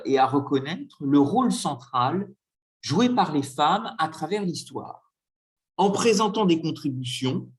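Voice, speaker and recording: Hesitant male lecturer, frequent pauses. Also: on the high side. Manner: hesitant, many filler pauses. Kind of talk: conference lecture with slides.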